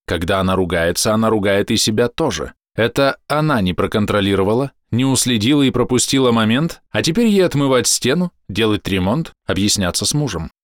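A man reading a scripted explanatory voice-over in measured, deliberate short phrases, close to the microphone. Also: fairly low pitch, lively intonation, no room sound. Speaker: narrator.

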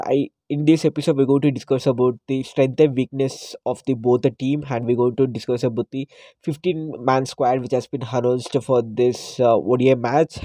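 Male podcast host, hoarse with a cold, mellow dark tone, dry close sound. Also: mid-range voice, no room sound. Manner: hesitant, frequent "uh" fillers. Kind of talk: casual solo cricket podcast.

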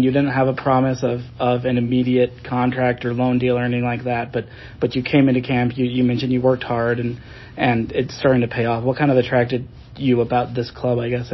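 Male reporter, stumbling long question, flat tone, muffled audio, faint rumble. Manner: rambling, repeats words while asking. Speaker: sports reporter. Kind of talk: press interview questions.

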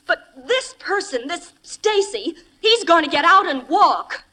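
A woman's voice: very high voice, lively intonation, measured pace. An actress playing an indignant, fiery young woman in a 1940s radio crime melodrama. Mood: hurt, furious. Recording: noisy old radio broadcast recording.

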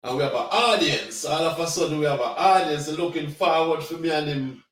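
A Jamaican man in his sixties with a somewhat high voice, speaking from a little back from the mic. The room around him rings with echo, and he chats in a laid-back way, dropping patois as he recalls his early days. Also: a little fast, distant mic. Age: 60s. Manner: relaxed, laughing, patois phrases. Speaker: guest reggae singer. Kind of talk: casual interview storytelling.

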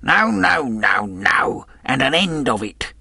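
A man voicing a gruff, violent old sea captain who drinks hard and barks his words out in exclamations. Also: mid-range voice, animated, normal speed, sharp tone, dry recording, studio quality, voice pushed up, exaggerated voice. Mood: angry, defiant. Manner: barking, exclamatory.

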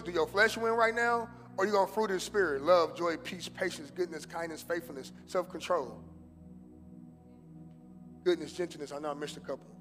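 A man with a high voice preaching to his congregation, slipping easily between casual street slang and fervent exhortation. His words ring out with a long hall echo and soft music underneath. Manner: casual street slang mixed with preaching, swings from loud fervor to hushed prayer. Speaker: pastor. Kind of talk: sermon. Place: church sanctuary.

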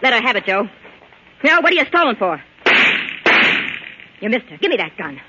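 A woman's voice: on the high side, sing-song, moderate pace. An actress playing a hard, ruthless woman gangster in a 1940s radio crime drama, snapping out curt, scornful orders. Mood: commanding, menacing. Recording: muffled, noisy old radio recording.

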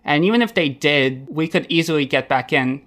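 A man's voice: a little high, lively intonation, moderate pace, a bit bright. A man voicing a wary, grumbling soldier on guard in a war novel reading. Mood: muttered grumbling.

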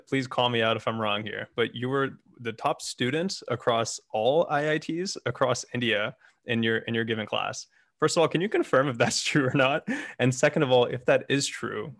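A man co-hosts a podcast, friendly and chatty as he asks his guest questions. His voice has a gravelly fry, and it sits in a dry, close room. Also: medium pitch, a bit bright, no room sound. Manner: friendly, chatty, many fillers. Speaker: podcast co-host. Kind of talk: casual interview chat.

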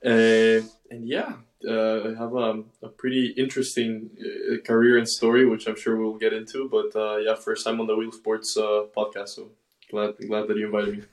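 A young man, a racing driver from Ecuador raised in Miami, talking casually in an interview with measured, halting phrasing. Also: lowish voice, flat delivery, echoey room. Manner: measured, halting with fillers and restarts.

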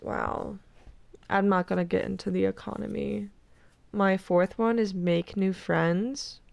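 A woman's voice: mid-range voice, steady tone, measured pace, gravelly, dry recording. A woman in her twenties hosting a podcast, chatting casually with lots of "like," her nose stuffed up. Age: 20s. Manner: rambling, peppered with "like". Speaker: podcast host. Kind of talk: casual chat.

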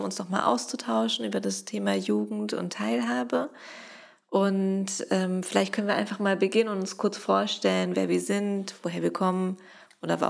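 A young woman hosts a round-table conversation, her voice carrying a gravelly edge. She speaks hesitantly, often pausing on fillers as she guides the talk. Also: mid-range voice. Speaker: podcast host and moderator. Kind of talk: casual round-table moderation.

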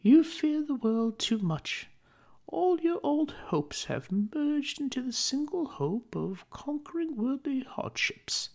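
A woman's voice: high voice, very animated, slow pace, heavily acted. An actress playing a young woman who earnestly and sadly ends an old engagement. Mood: reproachful. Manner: earnest, soft, sorrowful.

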